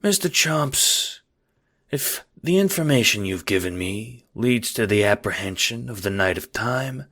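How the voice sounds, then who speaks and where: A man voicing an oily, menacing crocodile crime boss with a fake Godfather accent and a slow, falsely genteel way of talking. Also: on the low side, expressive, pushed and bright, voice pushed up, exaggerated voice. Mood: grandly promising. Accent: fake Godfather mobster accent.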